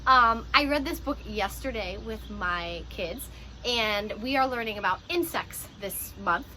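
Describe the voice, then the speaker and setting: A woman who teaches pre-K, reading a picture book aloud to young children in an animated, encouraging way with teacher asides. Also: a little high, a little slow, slight background noise.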